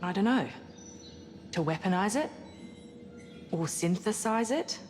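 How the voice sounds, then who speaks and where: An actress playing an earnest, suspicious scientist aboard a spaceship in a cinematic video game. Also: female voice, mid-range voice, expressive, unhurried, a little mellow, natural voice. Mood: suspicious, urgent. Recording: long reverberant hall, music behind.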